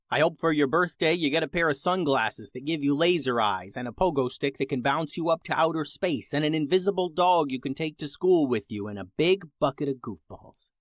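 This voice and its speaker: A man's voice: a little high, no room sound, clean studio sound. A man hosting a children's story podcast, reading scripted narration.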